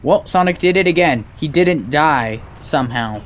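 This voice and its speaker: A man's voice: a little high, lively intonation, normal speed, fairly bright tone, slight background noise. A man giving sarcastic, nitpicking comedic commentary over video game cutscenes.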